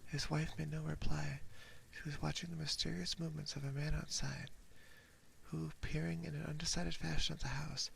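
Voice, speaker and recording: A man reading a story aloud as ASMR in a soft, hushed, unhurried whisper, close to a binaural microphone. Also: on the high side, flat delivery, vocal fry, slight background noise, rough recording. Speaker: ASMR streamer.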